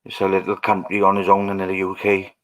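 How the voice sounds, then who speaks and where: Rambling Welsh livestreamer, low voice. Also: male voice, monotone, a little fast, fairly bright tone. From Wales. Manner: rambling, musing aloud to viewers. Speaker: livestream host. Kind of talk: casual livestream chat.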